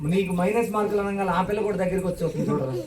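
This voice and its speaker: High-voiced man hosting in an echoey hall. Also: rapid-fire, crowd noise. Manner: exclaiming, questioning, echoing replies back. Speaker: puppet show host. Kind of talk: animated live children's show banter. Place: indoor church hall. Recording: echoey hall, distant from microphone.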